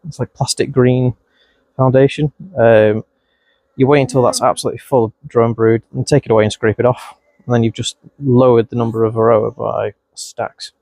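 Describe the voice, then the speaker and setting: A man's voice: medium pitch, flat delivery, moderate pace, vocal fry, darkish tone, no echo. A man with a mild Scouse-Wigan accent, narrating a beekeeping vlog outdoors at his hives, chatting and scolding the bees as he works. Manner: chatty, talks to his bees, voice jumps up when startled. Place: outdoors at beehives in a bee suit. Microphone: mic clipped close, bees crawling on it.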